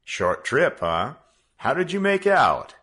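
A man reading a story aloud, voicing a kindly, shrewd middle-aged businessman speaking to his son in a measured, dry way. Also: on the low side, big pitch swings, fairly bright tone.

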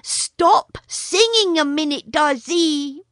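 Storybook hero: a brave, hot-tempered little male mongoose, bright and scolding, read by a woman. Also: high-pitched, no room sound, clean studio sound, voice pushed up. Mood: impatient. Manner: brisk, sharp and scolding. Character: brave young male mongoose, fierce and impatient.

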